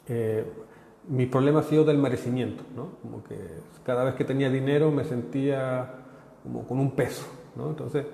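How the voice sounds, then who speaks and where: A man's voice: medium pitch, vocal fry, warm tone. Chilean man talking in an echoey room. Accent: Chilean, partly Mexican. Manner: halting, repeats words, tags phrases with "¿no?" and "¿ok?". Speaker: prosperity consultant giving a talk. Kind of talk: live online workshop talk. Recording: echoey room with long reverb.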